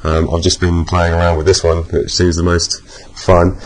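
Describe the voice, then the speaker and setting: Man, low voice bent by a voice changer, casual rambling demo with ums, desk mic. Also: completely flat delivery, normal speed. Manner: rambling, with many ums and restarts. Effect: software voice changer shifting pitch. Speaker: software reviewer. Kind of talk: casual screen-recording tutorial.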